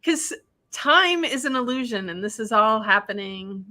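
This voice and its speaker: A woman from Wisconsin, a guest telling stories in a lively, animated way. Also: a little high, measured pace. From Wisconsin, USA. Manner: animated storyteller who acts out other people's lines. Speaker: guest, spiritual practitioner. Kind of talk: casual conversation.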